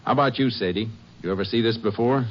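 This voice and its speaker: An American man acting a steady, no-nonsense Texas Ranger investigator in a 1950s radio crime drama, terse, measured and authoritative. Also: lowish voice, close to an everyday voice. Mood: firm, probing. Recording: dull old radio recording with music.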